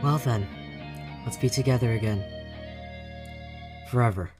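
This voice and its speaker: Mocking demonic impostor, female actor, very low register, smug and taunting, horror audio drama over loud music. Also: a little slow. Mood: coy, sinister. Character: mocking evil entity posing as a dead woman.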